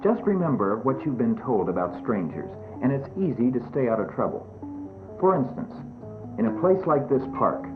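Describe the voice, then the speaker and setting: A man's voice: mid-range voice, sing-song, moderate pace. A man narrating a scripted educational film, admonishing children directly over background music on a muffled 1970s soundtrack.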